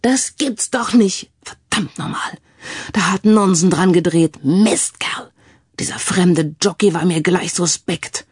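A woman's voice: lowish voice, takes it slowly, darkish tone, husky, no echo, clean studio sound. A woman voicing a furious, hot-tempered, suspicious woman horse trainer in an audio crime story.

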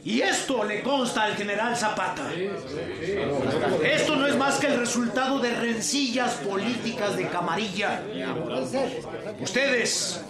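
A man's voice: extremely high pitch, unhurried, long pauses. A man with a Mexican accent playing a dignified accused revolutionary general in a radio drama. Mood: dignified protest. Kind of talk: Spanish-language radio drama acting. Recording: roomy sound with loud crowd behind.

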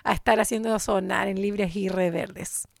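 A Chilean woman hosting a radio show in an upbeat, casual, slangy way. Her voice is heavy with gravelly vocal fry, and the sound is dry and close with no room around it. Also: mid-range voice, steady tone, measured pace, dry recording. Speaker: radio host.

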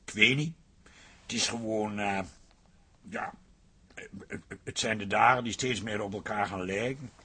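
A man's voice: lowish voice, a little slow, pauses often, pushed and bright, breathy edge, heavily acted. A man playing a harried, placating café landlord and husband in a Dutch radio play, blustering and repeating words when flustered. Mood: reluctant, flustered.

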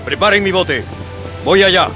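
An actor playing an obsessed one-legged sea captain who speaks curtly and commandingly in a radio play. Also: male voice, high-pitched, moderate pace, close to an everyday voice. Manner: curt, commanding. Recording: radio drama over music.

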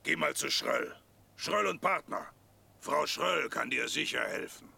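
Very gravelly, slow advice-giver. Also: male voice, on the high side, animated, bright, forceful tone, exaggerated voice. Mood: blunt advice. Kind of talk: German radio drama.

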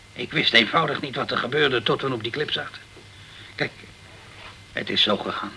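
An actor playing a guilt-ridden, hard-drinking, middle-aged ship's captain in a radio crime drama, halting and defensive, stumbling over his words. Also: lowish voice, bright, forceful tone, slight background noise, low-quality recording. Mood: guilty, subdued.

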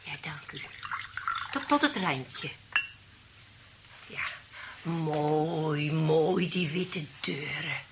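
A woman's voice: low-pitched, lively intonation, extremely slow, long pauses, background noise, full character voice. An actress playing an elderly lady friend, vague, nosy and innocently tactless, in a radio play. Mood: innocently critical. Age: older.

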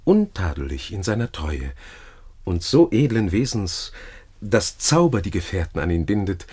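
Courtly, scheming Roman seducer, radio play, husky voice, slow florid delivery. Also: male voice, sits low, very animated, darkish tone, exaggerated voice. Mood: flattering, contrite. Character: smooth-tongued, scheming Roman gentleman, flattering seducer. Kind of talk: classical German radio play verse acting.

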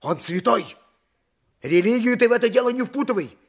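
An excitable donkey driver in an audio play. His high voice swoops widely as he argues like a stubborn, pious, working-class husband, theatrical and quick to take offence. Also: heavily acted. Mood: stubborn, doubtful. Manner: theatrical, indignant, chuckling cunningly. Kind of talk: audio play stage acting.

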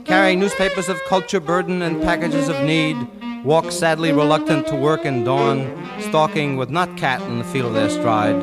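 A man's voice: on the high side, steady tone, normal speed, loud music behind the voice, close to an everyday voice. A man playing a beat poet, reciting jazz poetry in a rhythmic, playful and intense way. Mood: rhythmic, observant. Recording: loud saxophone jazz under the voice.

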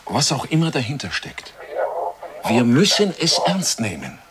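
An actor playing a serious, suspicious astronaut at a moon station in a German radio drama, speaking gravely and decisively. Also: male voice, medium pitch, wildly swooping pitch, measured pace. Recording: clearly noisy, hissy radio play recording.

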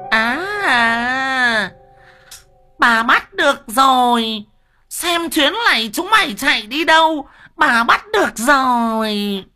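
A man's voice: very high-pitched, expressive, takes it slowly, pushed and bright, soft background music, pitched above the performer's own voice, full character voice. A man voicing a big, fierce village woman who screeches and wails at thieves in a spoken story. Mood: triumphant shouting. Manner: screeching, wailing.

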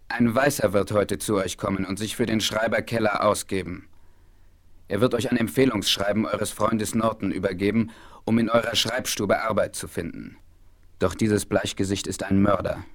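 An actor playing a grave, dignified Apache chief in a German radio play, speaking formally and solemnly. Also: male voice, fairly low pitch. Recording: hissy, crackly 1970s vinyl record.

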